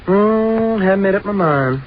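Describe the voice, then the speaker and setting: An actor playing a breezy, snobbish young rich fraternity president in an American accent, in 1930s radio drama style. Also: male voice, high-pitched, expressive. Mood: casual. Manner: cocky, folksy, drawn-out mocking questions. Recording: noisy, muffled 1930s radio recording.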